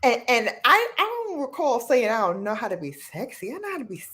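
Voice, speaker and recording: A woman hosting a casual chat who laughs often and jumps in quickly. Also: fairly high pitch, very animated, a bit bright. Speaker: host.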